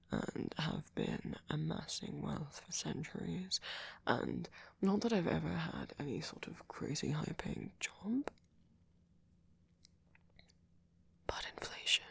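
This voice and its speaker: A man playing a gentle, doting centuries-old vampire boyfriend in an ASMR roleplay, speaking softly and haltingly, often in a whisper. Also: a little high, wildly swooping pitch, full character voice. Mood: doting, reassuring. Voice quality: soft, breathy, often whispered. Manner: halting, polite, fussing, softly reassuring.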